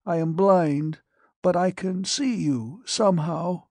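A man voicing a frail, withered young patient who speaks, weak and halting. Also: high voice, creaky, a little mellow, no room sound, studio quality, voice pushed up, full character voice. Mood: weak, dazed. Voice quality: cracked whisper.

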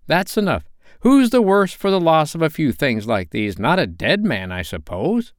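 A man's voice: a little high, very animated, normal speed, dry recording, clean studio sound, voice pushed up. A man voicing a bold, defiant Victorian charwoman with a brash, scornful way of talking.

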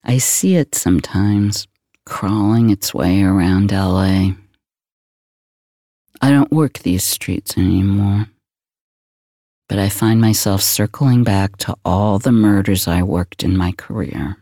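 An actor playing an older, world-weary retired homicide detective, brooding through a noir radio theater monologue. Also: male voice, lowish voice, warm tone, no echo, clean studio sound. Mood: haunted, wry. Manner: brooding, deliberate noir storytelling.

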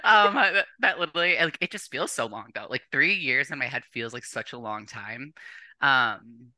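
A young adult woman with a North American accent, telling personal stories as an informal, animated, slangy podcast guest. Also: extremely low pitch, moderate pace, dry recording. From born or raised in Canada, later the United States. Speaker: podcast guest, competitive bodybuilder. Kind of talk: casual chat, personal storytelling.

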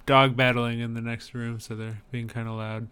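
Clear-voiced, easygoing man in casual chat. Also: medium pitch, flat delivery, normal speed, fairly bright tone. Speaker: podcast co-host. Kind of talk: casual chat about films.

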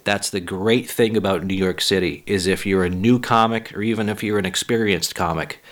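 A man with a low voice, a little hoarse and talking casually into his own podcast. He rambles, swears freely and pokes fun at himself. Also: steady tone, normal speed, fairly bright tone. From Boston area. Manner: profane, rambling, self-deprecating. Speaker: podcast host and stand-up comic. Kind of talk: casual solo podcast monologue.

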